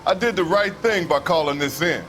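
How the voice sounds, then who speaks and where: A man's voice: on the high side, brisk, background noise, natural voice. An actor playing a defensive, complaining working man, a witness in a crime video game.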